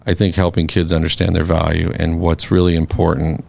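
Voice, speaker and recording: A man's voice: deep voice, level tone, fairly quick, vocal fry, a little mellow. A man speaking as a podcast guest, talking things through in a reflective, easygoing way. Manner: reflective, many fillers and restarts. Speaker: guest, scholarship foundation board president. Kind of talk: casual podcast conversation.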